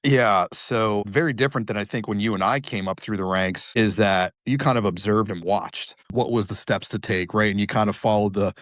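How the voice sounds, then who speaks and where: A man's voice: fairly low pitch, normal speed, hardly any pauses, fairly bright tone, dry recording, clean studio sound. A man in his thirties hosting a podcast interview, friendly and enthusiastic in casual talk. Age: 30s. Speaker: podcast host.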